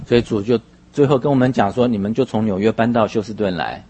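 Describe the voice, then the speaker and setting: A man interpreting a sermon phrase by phrase, husky and somewhat halting, rephrasing as he goes. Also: fairly low pitch, darkish tone.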